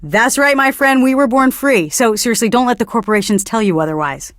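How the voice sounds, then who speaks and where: Pretentious young hipster radio DJ, dry studio. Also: female voice, on the high side, expressive, a little fast, fairly bright tone, no echo. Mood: dreamy, enthusiastic. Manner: pretentious, earnest, condescending. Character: pretentious young hipster indie radio DJ woman, earnest and smug. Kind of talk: radio DJ patter between songs.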